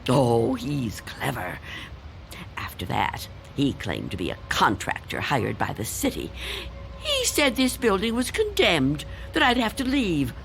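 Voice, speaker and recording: An actress playing a frightened, reclusive old woman in a radio drama, speaking in a halting, insistent, theatrical way. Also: extremely low pitch, very animated, gravelly, slight background noise, full character voice. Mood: fearful, insistent. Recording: loud music under the voice.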